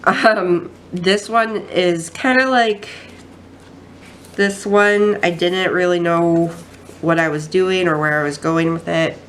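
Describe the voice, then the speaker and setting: A woman's voice: lowish voice, fairly even intonation, takes it slowly, a bit bright, slight background noise. A woman with a stuffy, nasal voice from a cold, chatting casually through a craft journal flip-through and rambling with many ums and restarts. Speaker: craft vlogger.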